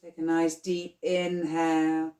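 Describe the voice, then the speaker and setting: A yoga nidra guide leading a guided relaxation in a soothing, unhurried, gently instructing manner. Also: mid-range voice, completely flat delivery. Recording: roomy, echoing sound.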